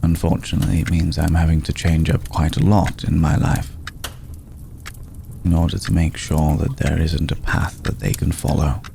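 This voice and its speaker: A man playing an ancient, aristocratic male vampire in an ASMR roleplay, formal, courteous and brooding, with a soft, gravelly voice and deliberate pauses. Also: a bass voice, flat delivery, slight background noise, full character voice. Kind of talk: ASMR roleplay, slow intimate acting.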